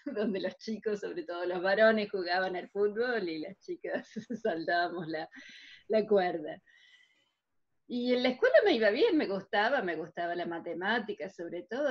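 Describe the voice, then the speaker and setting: A woman with an Argentine accent speaking conversationally and cheerfully as an interview guest, on dull, low-fidelity call audio. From Córdoba, Argentina. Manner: cheerful, chuckles between phrases. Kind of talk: conversational personal reminiscence.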